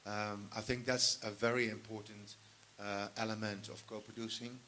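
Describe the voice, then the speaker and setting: A man, a film commissioner, speaking on a panel in a halting way with many pauses and restarts. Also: fairly low pitch, level tone, sharp tone, a little hiss or hum. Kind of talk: panel talk.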